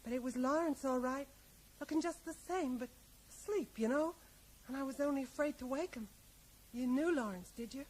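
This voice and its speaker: An actress playing a plain-spoken, resigned small-town American widow in a radio drama, rambling and often ending on a little question. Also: female voice, high voice, normal speed, darkish tone, natural voice, low-quality recording. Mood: shaken, wistful. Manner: rambling, ends remarks with tag questions. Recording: faint noise, 1980s radio play.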